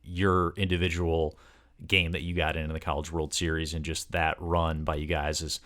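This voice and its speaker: A man with a low, deep voice hosts a sports interview podcast. He speaks conversationally and at length, building up wordy questions piece by piece. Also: level tone, normal speed, a bit bright. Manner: wordy, long multi-part questions.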